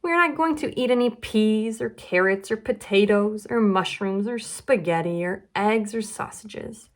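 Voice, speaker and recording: A woman's voice: mid-range voice, unhurried, close to an everyday voice. Woman reader as clever young big brother in a children's storybook. Patient, playful, persuasive, emphatic. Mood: sly, reassuring.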